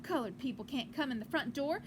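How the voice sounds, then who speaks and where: A woman's voice: high voice, lively intonation, fairly quick, background noise. A woman voicing a bossy older sister, a girl in a storybook who scolds harshly through clenched teeth. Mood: scolding.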